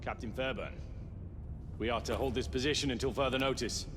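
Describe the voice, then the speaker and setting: A man's voice: mid-range voice, steady tone, fairly quick, background noise, close to an everyday voice. A man voicing an anxious, deferential rank-and-file soldier in a video game, hesitant and pleading. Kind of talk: cinematic video game acting. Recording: long echoey reverb, music behind.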